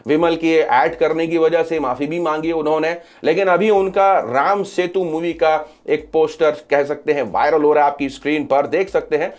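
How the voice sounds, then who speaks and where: Higher-pitched man presenting news commentary. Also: fairly even intonation, moderate pace, few pauses. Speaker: social media news commentator. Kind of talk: news commentary sharing updates to camera or over screen.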